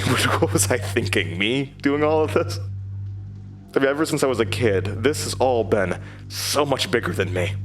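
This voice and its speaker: An actor playing a frightened, introspective man narrating his horror ordeal in a halting, brooding way. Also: on the low side, close to an everyday voice. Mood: anxious, self-doubting. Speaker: narrator and lead character. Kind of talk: first-person horror audio drama narration. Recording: music under the voice. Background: prominent music.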